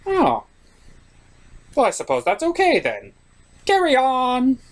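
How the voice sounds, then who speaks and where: Man as vain trickster spirit for puppet comedy: high, swooping, pompous, wheedling, self-important. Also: huge pitch swings, takes it slowly, exaggerated voice. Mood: placated. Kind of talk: puppet-show comedy skit.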